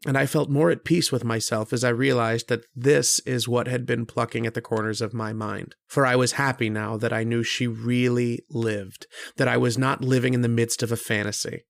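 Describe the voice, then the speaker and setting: An actor playing an earnest, kindly struggling writer who narrates an old-time radio horror drama in a reflective, hesitant way. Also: male voice, mid-range voice, steady tone, moderate pace, gravelly, hardly any pauses, no room sound, lightly acted. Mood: pensive, hushed.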